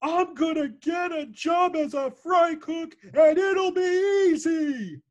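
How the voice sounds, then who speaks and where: A dim-witted, carefree cartoon starfish guy, acted by a man, with a high voice and slow delivery. He sounds childish and simple, happy to tease. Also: lo-fi sound. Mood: gleeful, mocking. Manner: slow, simple-minded, taunting. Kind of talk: cartoon script table reading.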